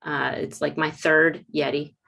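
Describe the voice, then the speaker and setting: Woman, 30s, from Puerto Rico, native Spanish speaker, casual livestream chat, rambling, desk mic, lowish voice. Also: flat delivery, unhurried, vocal fry, pushed and bright. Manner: chatty, rambling, self-correcting. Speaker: watercolor teacher hosting a livestream. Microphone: desk USB microphone.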